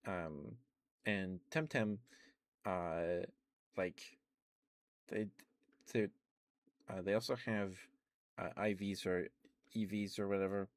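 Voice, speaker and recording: A man rambling casually in an ASMR livestream style, halting and restarting his words as he goes, close to the microphone. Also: low-pitched, steady tone, dry recording. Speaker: livestream host.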